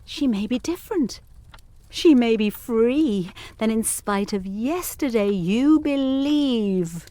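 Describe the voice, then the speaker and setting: A woman's voice: fairly high pitch, expressive, takes it slowly, warm tone, no room sound. A woman playing an earnest, anxiously determined young governess in an audio drama, speaking formally and urgently. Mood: anxious, probing. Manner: formal, literary phrasing, urgent. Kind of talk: audio drama acting of Victorian gothic ghost story.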